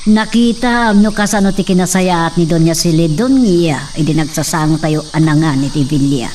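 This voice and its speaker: A woman's voice: fairly low pitch, moderate pace, darkish tone, recorded from a distance, slight background noise, lightly acted. A woman playing an earnest mother who gives her son instructions in a radio drama. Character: grave mother of the young master.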